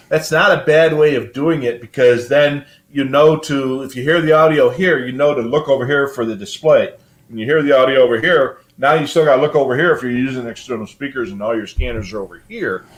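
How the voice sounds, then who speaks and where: A man's voice: mid-range voice, a little fast. Older male, mid-range voice, casual live-show host, rambling, matter-of-fact explanations. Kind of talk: casual live hobby talk.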